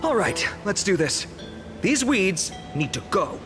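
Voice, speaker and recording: An eager young hero, swooping expressively. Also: male voice, high-pitched, big pitch swings, measured pace. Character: earnest, boyish young hero, eager childhood friend. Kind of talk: video game voice acting. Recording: background music under the voice.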